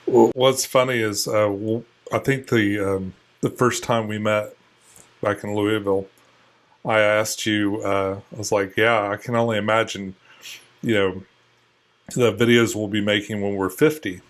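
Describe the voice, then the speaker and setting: A man in his forties, a guest on an interview show, answering in a relaxed, hesitant way with many fillers. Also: fairly low pitch, a little slow. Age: 40s. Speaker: guest, longtime video maker. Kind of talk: casual interview chat.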